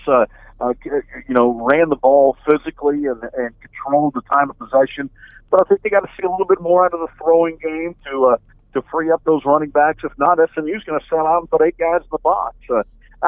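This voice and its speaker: A man's voice: on the high side, no room sound. A middle-aged man, a radio play-by-play announcer, talking as a guest in a sports interview over the phone in a conversational, analytical way. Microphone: telephone. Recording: band-limited phone line.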